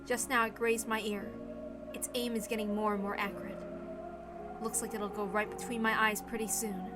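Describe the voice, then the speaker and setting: A woman voicing a resigned, dispassionate woman in a story reading, speaking in a measured, calm way. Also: mid-range voice, loud music behind the voice, natural voice.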